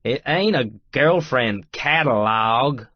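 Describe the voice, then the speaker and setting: A man voicing a teasing, skeptical, rough-edged brother with folksy, needling talk in an audiobook. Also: mid-range voice, animated, slow pace, dry recording, muffled recording, voice pushed up. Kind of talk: audiobook character dialogue.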